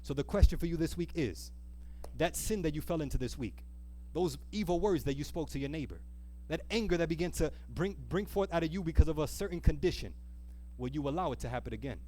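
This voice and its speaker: A man preaching an earnest, exhorting sermon, pressing rhetorical questions and repeating key phrases with deliberate, slowed emphasis. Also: on the high side, lively intonation, mellow, slight background noise. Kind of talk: sermon with a closing appeal.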